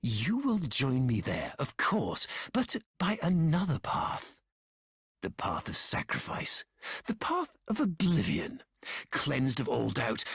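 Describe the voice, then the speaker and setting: An actor playing a grandiose, bitter, scheming tyrant in a video game, theatrical and pompous, often dropping toward whispers. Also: male voice, mid-range voice, creaky, fairly bright tone, heavily acted. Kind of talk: scripted video game voice acting. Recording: long hall-like reverb.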